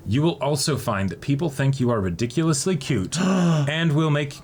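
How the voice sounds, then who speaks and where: A man co-hosting a casual unboxing video, chatting and reading aloud in a halting, conversational way. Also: a little high, a little mellow. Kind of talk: casual banter, some reading aloud from a letter. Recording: noisy room, background ambience.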